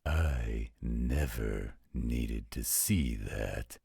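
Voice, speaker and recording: A man playing a gruff, overprotective, grumbling werewolf guardian with a gravelly, husky voice in a roleplay audio drama. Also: very deep voice, mellow, no echo, studio quality, heavily acted. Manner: slow, grumbling, protective.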